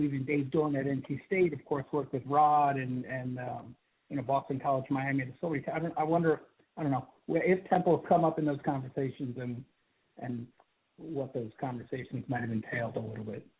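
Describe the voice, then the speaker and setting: A man, a sports reporter, asking a rambling question on a press call, halting with many "you know" fillers. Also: on the high side, steady tone. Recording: phone line with hiss.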